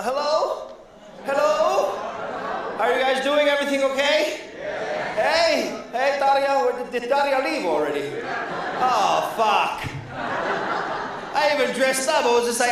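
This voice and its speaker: A man's voice: extremely high pitch, background noise. A man from Iran doing a live stand-up comedy set, chatting with the crowd in a rambling way with lots of fillers and restarts. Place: comedy club stage before a live audience. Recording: echoey room, voice from far off.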